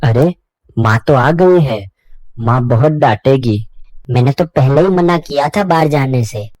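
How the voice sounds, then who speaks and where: A woman acts out a naughty, greedy child from a moral story cartoon. The voice is strikingly low and slow, with a close, dry sound. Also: expressive, darkish tone, no room sound. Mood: worried. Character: mischievous, greedy young children, fearful of mother. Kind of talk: animated moral story dialogue.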